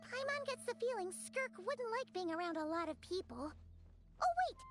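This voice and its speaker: Tiny chatty fairy companion, super high squeaky voice, game music faint behind. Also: female voice, animated, moderate pace. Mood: eager. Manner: high squeaky voice, speaks of herself by name.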